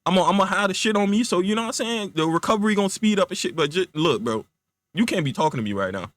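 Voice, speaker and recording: A man's voice: high-pitched, animated, a bit bright, no room sound. A man voicing an exasperated young man who refuses to help his friend, blunt, slangy and profane, in a comic story. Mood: exasperated, loud. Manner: blunt, slangy, profane, rapid rhetorical questions.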